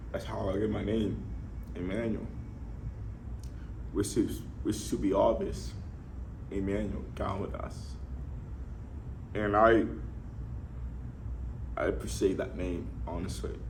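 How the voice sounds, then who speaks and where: A man in his twenties with a speech impediment, giving an earnest, halting personal testimony in short phrases. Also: low-pitched, darkish tone, a little hiss or hum, rough recording. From Nigeria. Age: 20s. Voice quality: speech impediment, imperfect articulation. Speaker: fitness enthusiast sharing life story.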